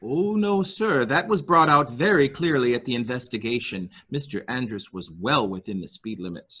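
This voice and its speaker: A performer playing a polite, hesitant servant loyal to the employer, with a husky voice, in a dramatic murder mystery reading. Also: medium pitch, lively intonation, fairly bright tone. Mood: earnest, defensive. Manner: halting, polite, deferential.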